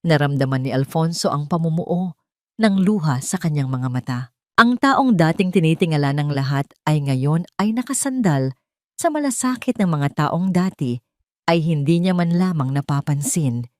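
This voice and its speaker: Female Filipino narrator, lowish pitch, solemn measured storytelling, very dry studio-style sound. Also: animated, darkish tone, dry recording. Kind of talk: dramatic scripted story narration.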